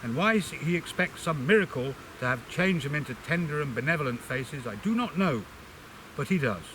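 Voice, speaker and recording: An older man with a slightly high voice reads in a literary, expressive style. His phrasing is measured and dramatic. The home recording carries a clear, steady buzzing noise behind him. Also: low-quality recording. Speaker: amateur reader introducing and reading a book extract. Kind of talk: expressive literary reading aloud.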